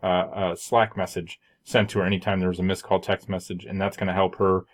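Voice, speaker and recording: Low, monotone man explaining a software walkthrough. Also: brisk. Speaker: software tutorial presenter. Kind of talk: informal screen-share walkthrough.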